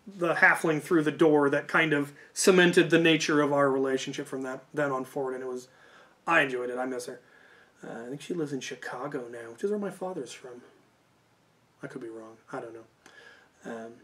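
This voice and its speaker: Man, slightly high voice, vlog host, rambling casual storyteller, informal, second-guesses himself. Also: a bit bright. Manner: informal, hedging and self-correcting. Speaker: YouTube host.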